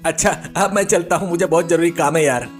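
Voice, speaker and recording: A man's voice: fairly high pitch, fast talker, faint music behind, lightly acted. A man playing an exasperated, short-tempered village man who grumbles and scolds impatiently in a children's story. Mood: impatient, resigned.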